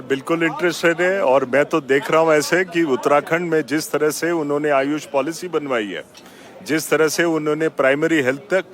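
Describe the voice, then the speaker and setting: A man with an Indian accent giving formal, earnest promotional remarks as an interview guest, switching between Hindi and English in deliberate phrases. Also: a little high. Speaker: foundation trustee and company managing director, interview guest. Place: busy expo grounds.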